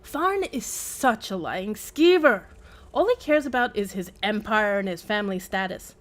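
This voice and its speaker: A woman's voice: medium pitch, expressive, unhurried, close to an everyday voice. A woman reading out the game dialogue of an embittered, loyal warrior companion who trusts few. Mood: bitter, distrustful.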